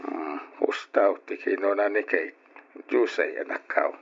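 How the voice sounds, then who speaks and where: An actor playing a stern but sympathetic elderly father and grandfather in an Ilocano radio drama, speaking gravely and emphatically with an Ilocano accent. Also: sits low, a little slow, full character voice. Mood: somber. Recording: dull, muffled old radio drama recording.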